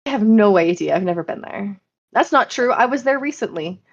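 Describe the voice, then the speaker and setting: American woman podcaster explaining stuff casually, kinda sweary n animated, slightly dull audio. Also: medium pitch, brisk. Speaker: podcast host.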